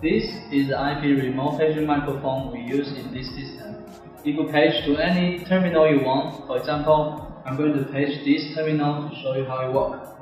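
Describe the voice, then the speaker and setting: A man's voice: a little high, steady tone, moderate pace, a little mellow. Echoey young man with presenting. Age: 20s. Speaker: product presenter. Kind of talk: product demonstration, scripted narration. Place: bare indoor office or classroom. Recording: very echoey room, music behind.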